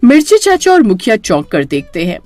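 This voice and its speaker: A woman's voice: medium pitch, huge pitch swings, fairly quick, darkish tone, faint music behind. A woman narrator reading a scripted horror story.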